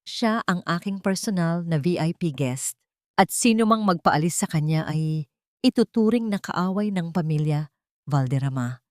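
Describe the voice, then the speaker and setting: A woman's voice: fairly low pitch, expressive, moderate pace, no room sound, studio quality, close to an everyday voice. A woman with a Filipino accent voicing a cold, commanding, protective billionaire man in an audiobook story. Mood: cold authority. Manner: firm, cold, formal.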